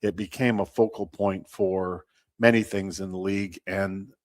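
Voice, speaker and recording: A low-voiced man gives an interview as a baseball team representative. He talks in a measured, halting way, stopping mid-thought before carrying on. The sound is close and dry. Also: steady tone, a little mellow, no echo. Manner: measured, halting, often pausing mid-sentence. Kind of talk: unscripted sports interview talk.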